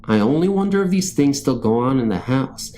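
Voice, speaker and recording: A man narrates eerie true-life tales in a measured, storytelling way. His voice is soft-edged and dark in tone, set at a middling pitch, with faint music underneath. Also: animated. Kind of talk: scripted narration of scary first-person stories. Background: background music.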